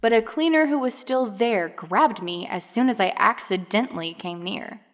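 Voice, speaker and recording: A woman's voice: on the high side, lively intonation, normal speed, lightly acted. A woman playing a self-assured, haughty teenage girl in a gang, telling her story in a knowing, dramatic way. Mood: dark, serious. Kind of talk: scripted dramatic first-person narration.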